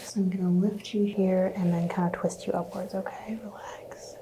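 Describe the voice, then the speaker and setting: A woman in a soft-spoken, often whispered ASMR roleplay as a chiropractor, giving gentle, reassuring instructions. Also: mid-range voice, level tone, normal speed, background noise. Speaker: ASMR host playing a chiropractor.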